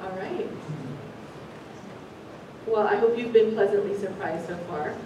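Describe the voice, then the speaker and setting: American woman doing a chatty orientation welcome, really echoey room, kinda far from the mic. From San Francisco Bay Area, United States; born in the Philippines. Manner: chatty, halting, informal. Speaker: orientation presenter, university associate director. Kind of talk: informal welcome talk to new students. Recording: echoey room, distant mic, rumbling noise.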